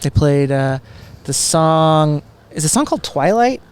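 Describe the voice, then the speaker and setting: A man talks casually as an interview guest. His voice sits a little higher than most men's, and he speaks in a halting, tentative way. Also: lively intonation, darkish tone, clear, resonant tone. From Houston, Texas. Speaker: podcast guest, comedy writer. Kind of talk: casual chat.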